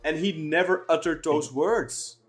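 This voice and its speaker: A man's voice: fairly high pitch, unhurried, faint music behind. A man co-hosting a football podcast, talking at length in casual chat with many restarts and fillers. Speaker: podcast co-host.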